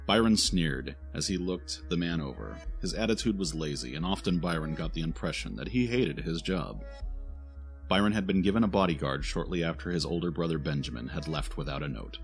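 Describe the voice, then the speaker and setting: A man's voice: a bass voice, lively intonation, brisk. A man reading scripted narration for a fantasy audio drama. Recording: music behind the narration.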